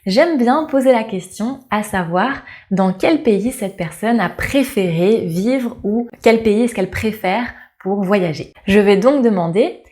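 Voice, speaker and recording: A young French woman from Paris, a French teacher, giving a lesson to camera and slowly repeating each model phrase clearly. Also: mid-range voice, a little mellow. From France.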